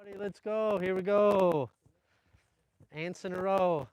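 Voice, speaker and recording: A British man teaching a kung fu class, calling out energetic instructions to the group. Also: high voice, normal speed, smooth, no room sound, rough recording. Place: training ground at a mountain retreat.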